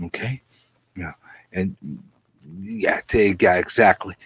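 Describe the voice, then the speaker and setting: Male vlogger, low husky voice, comedic rambling rant, theatrical and repetitive. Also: fairly even intonation, takes it slowly, fairly bright tone, dry recording. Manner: theatrical, repetitive, stammering over phrases. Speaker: host of a comic book video channel.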